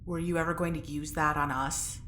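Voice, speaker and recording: An actress playing an anxious, sharp-tongued young woman in a sci-fi audio drama, speaking bluntly and informally. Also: low voice, steady tone, a little fast. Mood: accusing. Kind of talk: audio drama acting, naturalistic dialogue. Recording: roomy echo, faint background noise.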